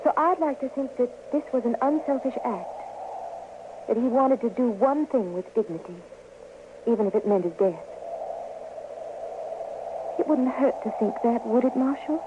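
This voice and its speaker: Earnest, high-voiced frontier woman, played by an actress. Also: animated, moderate pace, long pauses, natural voice. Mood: gentle, earnest. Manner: earnest, pleading, proper. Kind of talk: old-time western radio drama acting. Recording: noisy, muffled old radio recording.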